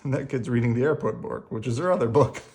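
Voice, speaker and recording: A storyteller reading a children's picture book aloud in a gentle bedtime manner, adding spoken asides along the way. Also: mid-range voice, fast. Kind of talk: expressive read-aloud with ad-libbed asides.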